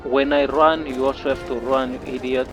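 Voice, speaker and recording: A man's voice: medium pitch, level tone, fairly quick. A man casually commenting on a game he is playing, chatting to his viewers while coming down with flu. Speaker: gameplay channel host. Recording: game music under voice.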